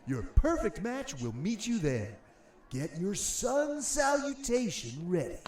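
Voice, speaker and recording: High male voice, wildly animated, echoey, upbeat event announcer, audio comedy. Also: slow pace, full character voice. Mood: peppy. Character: peppy event organizer addressing participants. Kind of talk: comedic audio drama acting.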